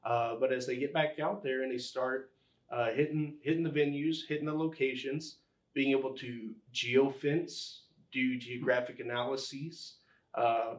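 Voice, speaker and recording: A man answering interview questions as a podcast guest, explaining things conversationally and haltingly as he pieces thoughts together. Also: mid-range voice, fairly even intonation. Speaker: podcast guest, engineering executive. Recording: roomy, echoing sound.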